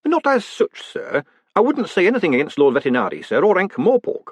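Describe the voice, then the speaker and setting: A man's voice: high-pitched, huge pitch swings, a little fast, slightly rough, dry recording, pitched above the performer's own voice, exaggerated voice. A man voicing a short, bird-like small-town mayor in an audiobook, nervous and eager, with folksy, deferential, colloquial talk. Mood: hesitant, wheedling.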